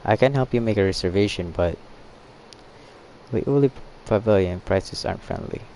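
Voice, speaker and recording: Man, low mellow voice, halting game playthrough, reading aloud, faint noise behind. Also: rough recording. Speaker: gaming streamer.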